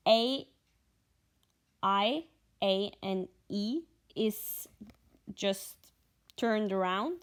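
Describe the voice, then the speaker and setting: Slow-talking Norwegian woman vlogger. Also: medium pitch, smooth. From Norway. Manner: halting, thinking aloud with fillers. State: just woken up, short on sleep. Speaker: stitching vlogger. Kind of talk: casual vlog chat.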